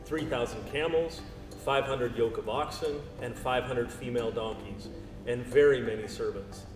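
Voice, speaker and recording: A man reading scripted narration for a dramatized Bible story. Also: medium pitch. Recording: echoey hall, distant, clear music behind.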